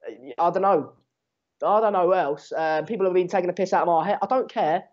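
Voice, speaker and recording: A man's voice: high voice, brisk, a little mellow. English teen guy doing a football watchalong, dutch first language, matey n sarcastic, swears a bit, kinda muffled lo-fi audio. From England. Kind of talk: casual live match commentary and chat with viewers. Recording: dull lo-fi stream mic.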